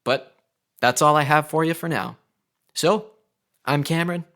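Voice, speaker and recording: A man's voice: on the high side, unhurried, a bit bright. A man hosting a podcast segment, speaking in a personal update monologue as a musician and music teacher.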